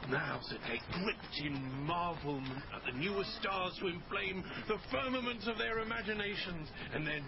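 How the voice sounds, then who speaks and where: A man's voice: high voice, lively intonation, normal speed, gravelly, hardly any pauses, lo-fi sound, noisy background. An actor playing a flamboyant middle-aged father, a travelling showman who declaims in florid, pompous speeches for a radio drama. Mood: grandly dreamy.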